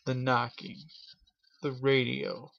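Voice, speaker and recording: A man hosting a show and improvising a spooky story for his viewers, speaking haltingly in short phrases with pauses. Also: medium pitch, flat delivery, gravelly, a bit bright, dull audio. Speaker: host telling a scary story.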